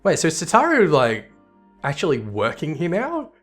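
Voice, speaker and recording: A man's voice: fairly high pitch, huge pitch swings, normal speed, a bit bright. Musing man with music behind him. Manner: reflective, musing. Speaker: gaming channel host. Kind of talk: casual game playthrough commentary.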